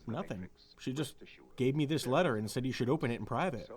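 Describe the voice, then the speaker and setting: A man playing an excitable, casual young friend at a party in an audio drama, talking in a loose, slangy, emphatic way. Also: mid-range voice, fairly quick, lightly acted. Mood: sheepish, casual.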